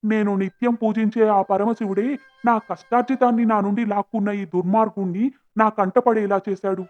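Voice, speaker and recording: Brazen lying villager acted by a man, very high, loud, indignant, accusing, cartoon fable with music behind. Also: flat delivery, moderate pace, lo-fi sound, lightly acted. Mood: aggrieved accusing. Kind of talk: cartoon moral-story acting.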